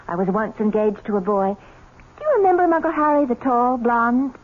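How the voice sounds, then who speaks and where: An American actress in an old-time radio mystery, playing a teasing, bossy young fiancée who chatters breezily and firmly. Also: female voice, on the high side, animated, brisk, close to an everyday voice. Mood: teasing, breezy. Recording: tinny, hissy vintage radio recording.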